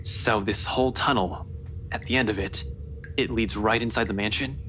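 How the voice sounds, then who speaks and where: A man's voice: on the low side, fairly even intonation, normal speed, pushed and bright, slight background noise. An actor playing a wary, level-headed male police detective in a visual novel, with a terse, questioning way of talking.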